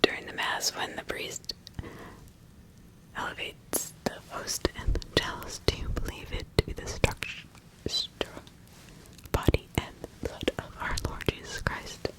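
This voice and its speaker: A woman's voice: unhurried, close to an everyday voice, rough recording. A woman playing a stern magistrate who questions accused witches insistently in a whispered ASMR roleplay. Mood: probing. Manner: interrogating, insistent, repeating questions.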